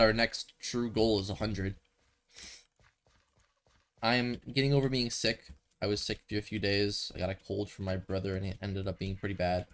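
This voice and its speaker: Stuffy, rambling young gaming streamer. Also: male voice, lowish voice, fairly bright tone. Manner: rambling, thinks aloud, self-correcting. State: a little stuffy from a cold. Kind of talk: casual gameplay commentary.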